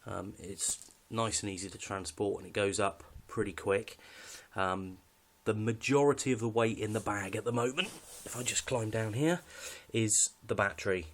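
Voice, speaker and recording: A man's voice: fairly low pitch, moderate pace, hardly any pauses, a little hiss or hum. A British man giving a casual, unscripted walkthrough of his kit in a matter-of-fact, slightly hesitant way. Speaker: hobbyist presenter showing radio kit.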